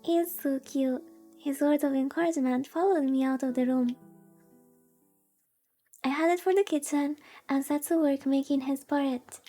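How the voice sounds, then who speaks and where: Girl streamer reading a visual novel, really high soft voice, slow, cutesy little asides, faint music. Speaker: VTuber streamer. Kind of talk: soothing visual novel read-aloud with chatty commentary.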